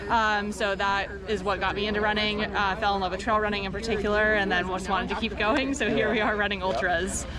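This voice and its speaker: A woman from Phoenix, Arizona talking eagerly and quickly in a casual interview, with many fillers. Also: medium pitch, fairly even intonation. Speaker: runner interviewed at a race. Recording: loud crowd at outdoor event.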